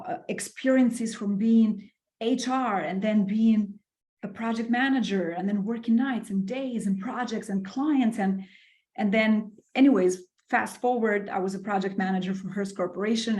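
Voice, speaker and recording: A woman's voice: medium pitch, moderate pace, a little mellow. A middle-aged woman from Albania, a podcast guest telling her career story with enthusiasm, using lots of fillers and restarts. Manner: enthusiastic, with many fillers and repeated words. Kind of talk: casual interview storytelling.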